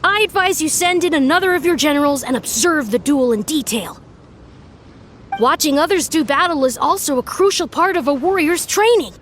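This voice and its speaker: A little, self-assured battle master for an anime game. The voice is extremely high and very animated, with formal, lofty phrasing as if instructing pupils. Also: female voice, moderate pace, slight background noise. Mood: sagely.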